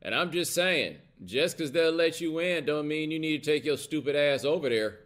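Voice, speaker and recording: A man's voice: on the high side, lively intonation, moderate pace, a bit bright. An American man hosting a casual, opinionated livestream, talking bluntly and colloquially with emphasis and checking in with his listeners. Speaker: host of a live commentary show.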